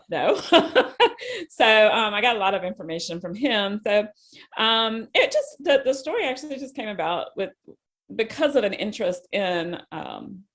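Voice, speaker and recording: A woman with a voice a little lower than most talks as a guest author. She is informal and a bit self-deprecating, drifting into asides as she chats. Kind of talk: casual interview chat.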